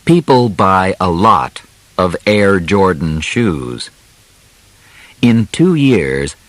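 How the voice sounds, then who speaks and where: Male narrator for a simplified audiobook: very unhurried, clearly articulated phrasing, lively intonation, dry close sound. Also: sits low, dry recording. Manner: deliberate, carefully enunciated, short phrases with pauses.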